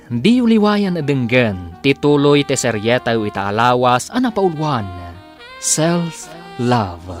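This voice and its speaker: A man announces and narrates a radio drama in an Ilocano accent. He takes his time and moves his pitch widely for dramatic weight. A music bed sits lightly underneath.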